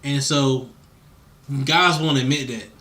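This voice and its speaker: A man's voice: medium pitch, steady tone, slow pace, pushed and bright. An American man interviewing in a casual, laid-back conversational way, slangy and checking in with the listener.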